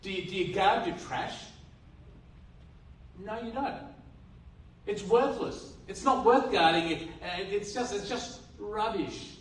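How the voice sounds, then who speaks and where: A man's voice: high-pitched, takes it slowly, long pauses, a bit bright. An Australian man preaching a sermon as pastor, earnest, asking rhetorical questions and restarting his phrases. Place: church hall. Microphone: handheld mic. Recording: roomy hall from a distance.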